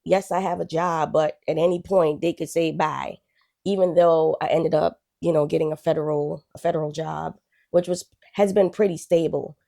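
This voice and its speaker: Woman from Guyana, forties, low gravelly voice, mellow tone, relaxed chatty guest. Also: moderate pace. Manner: relaxed, chatty, with frequent "um" and "you know". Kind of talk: casual interview, telling her life story.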